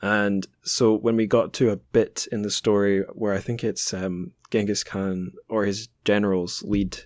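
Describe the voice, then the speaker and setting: Low-voiced man hosting a casual podcast. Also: little pitch movement, a little slow, no echo, clean studio sound. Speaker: podcast host. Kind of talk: casual interview chat.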